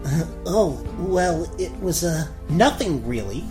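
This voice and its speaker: A man voicing a cowardly, blustering young prince who stammers excitably in a cartoon parody. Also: a little high, slow, over music. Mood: sheepish. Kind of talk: cartoon gag-dub parody.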